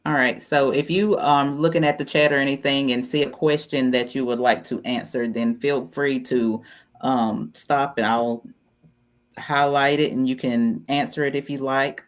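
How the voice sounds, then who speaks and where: A woman with a low, deep voice hosts an online talk show. She speaks calmly and guides things along, welcoming her guest and explaining how the session will go. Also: normal speed. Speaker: host.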